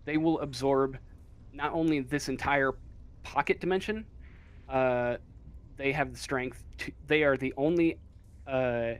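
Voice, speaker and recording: A man's voice: mid-range voice, measured pace, pauses often, clean tone. A man improvising narration as game master of a tabletop game, hesitant and often searching for words.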